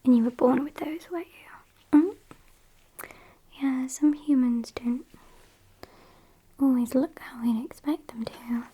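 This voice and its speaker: A British woman playing a sassy, morbid gothic vampire kidnapper in a whispered ASMR roleplay, soft, unhurried and deadpan. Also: high-pitched, full character voice, poor audio. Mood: calmly menacing. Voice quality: breathy whisper.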